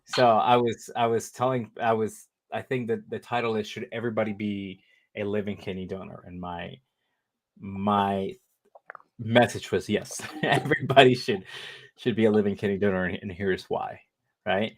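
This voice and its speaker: Guest, male, 30s, lower voice, loose conversational delivery with fillers and self-corrections. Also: fairly even intonation, moderate pace. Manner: rambling, lots of fillers and restarts. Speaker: interview guest, living kidney donor. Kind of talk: casual conversational interview.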